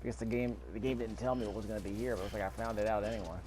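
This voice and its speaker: A man's voice: on the low side, steady tone, racing, darkish tone, slight background noise. Man commentating casually over gameplay. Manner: musing aloud, repeats phrases. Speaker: gaming video host. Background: faint video game ambience.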